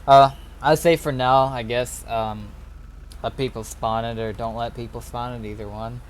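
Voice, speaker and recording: A man streaming an online roleplay game, chatting casually and haltingly as he thinks aloud. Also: medium pitch, steady tone. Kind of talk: casual gaming chat.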